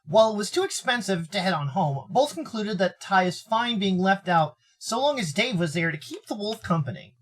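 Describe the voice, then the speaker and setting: An American man from Arizona giving theatrical, expressive narration of a visual novel as a storyteller. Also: high voice, normal speed, few pauses. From Arizona, United States. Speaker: narrator and streamer.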